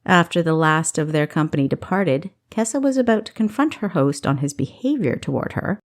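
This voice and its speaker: A woman's voice: low voice, animated, a little fast. A woman narrating a novel as an audiobook, reading expressively from a script.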